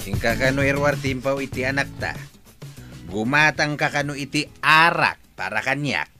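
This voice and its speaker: A man's voice: medium pitch, normal speed, loud music behind the voice, lightly acted. An actor playing an older father who is fond of rice wine, wheedling and stubborn. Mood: coaxing. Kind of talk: radio drama acting.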